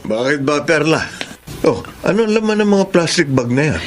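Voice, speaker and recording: A man's voice: fairly high pitch, big pitch swings, normal speed, slight background noise. A man with a Filipino accent acting a humble, forgiving fisherman in a radio drama. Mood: approving.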